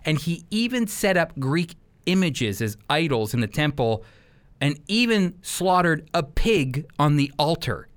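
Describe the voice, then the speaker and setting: A man's voice: a little high, sing-song, a little slow. A man giving sermon-like Bible teaching on a radio program, speaking emphatically and addressing listeners directly.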